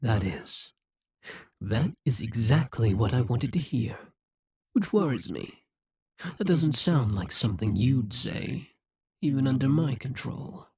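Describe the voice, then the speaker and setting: Performer acting a haughty demon ruler, very breathy and husky, dark mellow tone, condescending, coldly possessive, ASMR roleplay. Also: medium pitch, lively intonation, dry recording, studio quality, exaggerated voice. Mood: possessive, uneasy. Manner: slow, musing, condescending.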